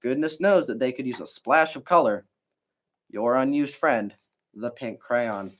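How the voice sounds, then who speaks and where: A man's voice: mid-range voice, measured pace, natural voice. A man voicing a sassy, indignant crayon from a children's picture book, scolding and emphatic.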